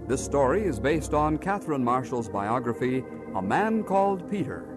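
A man's voice: mid-range voice, big pitch swings, moderate pace. Male radio announcer over organ music. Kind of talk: old-time scripted radio announcement.

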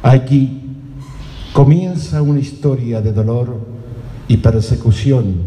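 A man playing a solemn storyteller, narrating live radio theatre with dramatic pauses. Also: mid-range voice, lightly acted. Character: solemn storyteller of a gaucho legend. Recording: echoey hall with loud music.

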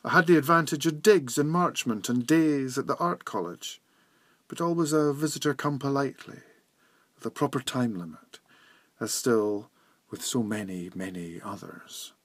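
A man's voice: medium pitch, normal speed, a bit bright, dry recording. Scottish man reciting poetry, very dry sound. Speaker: poetry reader.

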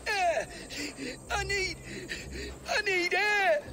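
An actor playing a haughty, suspicious lord in a video game, ailing and short of air. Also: male voice, very high-pitched, drawn-out words, background noise, heavily acted. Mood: choking panic. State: gasping, coughing. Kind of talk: dramatic video game acting.

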